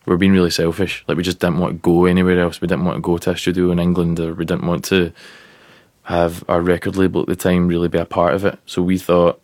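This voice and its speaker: A man in his thirties with a Glasgow accent, a musician chatting casually in an interview, rambling and relaxed with lots of fillers. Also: sits low, fast, no room sound, studio quality. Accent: Glaswegian. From Scotland. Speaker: musician guest.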